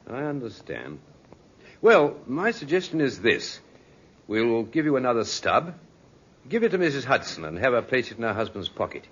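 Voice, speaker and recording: An actor playing a dry, shrewd police inspector in a 1950s radio drama, with measured, sceptical questioning. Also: male voice, mid-range voice, very animated, fairly bright tone, breathy edge. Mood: businesslike. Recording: muffled, scratchy 1950s radio recording.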